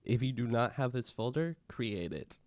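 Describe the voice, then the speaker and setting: A man narrating a casual step-by-step software tutorial in a plain, instructional manner. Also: medium pitch, expressive, normal speed, dry recording, studio quality.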